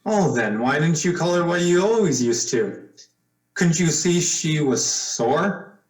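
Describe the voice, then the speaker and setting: Radio drama male actor: distrustful young man, accusing, slightly high pitch, echoey recording. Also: expressive, unhurried. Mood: probing. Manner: accusing, challenging. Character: suspicious, needling young man, watchful. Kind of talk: old-time radio drama acting. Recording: echoey room.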